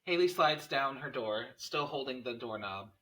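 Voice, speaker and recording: A man narrates a screenplay at a table read. His mid-range voice is bright and crisp in tone, picked up a little way from the microphone. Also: medium pitch, animated, normal speed, distant mic, low-quality recording. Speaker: narrator reading stage directions.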